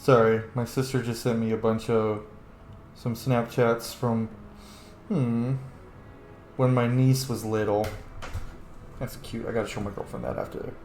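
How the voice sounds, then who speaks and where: A man's voice: medium pitch, flat delivery, a little slow, pauses often, a little mellow, soft background music. A man giving casual, informal let's-play commentary, thinking aloud as he plays a video game. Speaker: video game player, streamer. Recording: roomy echo, faint background noise.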